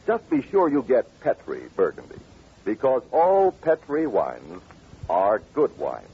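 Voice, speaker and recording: A man with an American accent working as a radio announcer and host in 1940s sponsor-pitch style. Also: huge pitch swings, measured pace, slight background noise. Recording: muffled, rough old radio recording.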